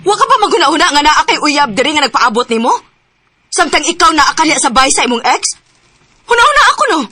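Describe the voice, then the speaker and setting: Heartbroken young woman, high bright voice, emotional, radio drama. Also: very animated, fast talker, full character voice. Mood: hurt. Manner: emotional, pleading. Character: young woman, hurt and anxious girlfriend.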